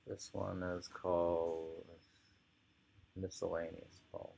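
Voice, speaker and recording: A man reading poetry aloud informally, musing and halting as he adds his own commentary in an unhurried way, on a dull home recording. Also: low voice, level tone, creaky, mellow. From United States. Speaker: poetry reader.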